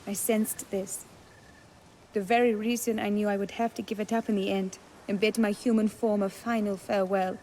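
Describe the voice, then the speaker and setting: An actress playing an earnest, resigned young noblewoman under a curse in a video game, speaking formally in measured, old-fashioned phrases. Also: medium pitch, little pitch movement, darkish tone, a little hiss or hum, natural voice, low-quality recording. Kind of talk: video game cutscene acting.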